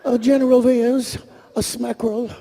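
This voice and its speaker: Gentle bear turned villain, mellow and high-pitched, unhurried menace, comic cartoon reading. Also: male voice, little pitch movement, vocal fry. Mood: mild menace. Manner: polite, dithering, slow. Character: gentle, slow, honey-loving bear playing a dark villain. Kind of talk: comic live script reading. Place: on stage at a large convention hall. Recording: echoey convention hall.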